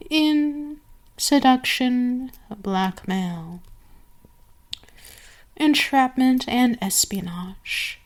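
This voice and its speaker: Vampire queen played by a woman: seductive and domineering ASMR mistress, higher-pitched, extremely unhurried delivery with savoring pauses. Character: commanding seductive vampire queen addressing her enslaved servant. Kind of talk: ASMR roleplay, scripted monologue.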